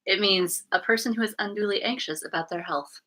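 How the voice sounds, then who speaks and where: A woman's voice: medium pitch, a little fast, bright, forceful tone. A woman explaining things in casual chat.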